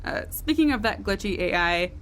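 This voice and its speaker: A woman's voice: mid-range voice, expressive, normal speed, a bit bright, slight background noise, natural voice. A woman playing a weary, blunt spaceship technician in a naturalistic science fiction audio drama. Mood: tired, guarded.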